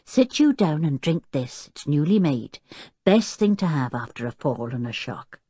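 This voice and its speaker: A woman's voice: low-pitched, sing-song, a little slow, dark tone, slightly rough, no echo, clean studio sound, exaggerated voice. A woman voicing a bossy, motherly housekeeper who fusses and scolds. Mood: fussing. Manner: fussing, scolding.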